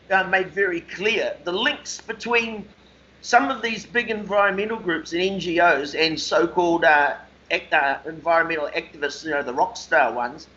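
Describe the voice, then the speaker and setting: A man co-hosting a conversational radio discussion, talking discursively with measured emphasis. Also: high-pitched, bright, forceful tone. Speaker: radio show co-host, interviewer. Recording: slightly dull lo-fi call audio.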